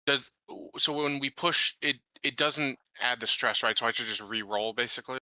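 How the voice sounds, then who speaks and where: A man's voice: mid-range voice, moderate pace, pushed and bright, no echo. A man taking part in a tabletop roleplaying game as a player, talking casually. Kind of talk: casual group game chat.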